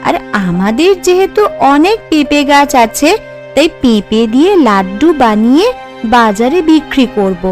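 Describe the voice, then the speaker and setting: A woman's voice: high-pitched, big pitch swings, normal speed, a little mellow, soft background music. A woman voicing an earnest, anxious young village housewife in a cartoon, explaining herself carefully. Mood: placating. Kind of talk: cartoon voice acting.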